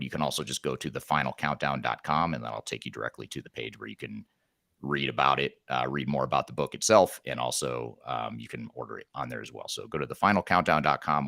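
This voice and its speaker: A man hosting a live podcast interview, talking quickly and upbeat, with fillers and restarts. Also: a bass voice, creaky, pushed and bright, dry recording, clean studio sound. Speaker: podcast host.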